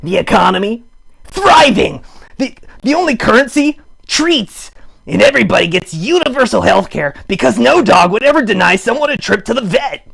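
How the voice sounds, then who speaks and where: A man voicing an excitable, earnest puppet in a raspy voice, making emphatic speeches in a live improvised puppet show. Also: high-pitched, moderate pace, heavily acted, poor audio. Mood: excited, earnest. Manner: emphatic, exclamatory, mock-campaign speech. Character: excitable puppet character, earnest champion of dogs. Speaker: puppeteer host.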